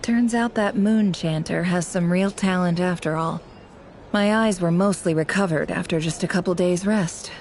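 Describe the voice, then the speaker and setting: A woman voicing a guarded, bitter young spy who speaks in a cool, measured, brooding way in video game dialogue. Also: on the low side, a little mellow, a little hiss or hum, natural voice.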